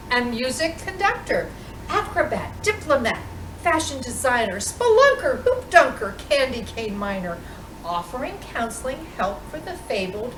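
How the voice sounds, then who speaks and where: Female storytime reader, picture-book delivery, unhurried and expressive, captured in a roomy space from a distance. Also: sits high. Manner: expressive, deliberate storytelling with dramatic pauses. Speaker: storytime reader playing Mrs. Claus. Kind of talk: reading a children's picture book aloud. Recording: roomy, distant microphone with clear background noise.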